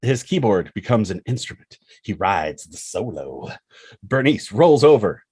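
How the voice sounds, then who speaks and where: A man's voice: fairly low pitch, very animated, measured pace, husky. A man reading screenplay stage directions as the narrator of a scripted table read. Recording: loud music under the voice. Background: loud instrumental music.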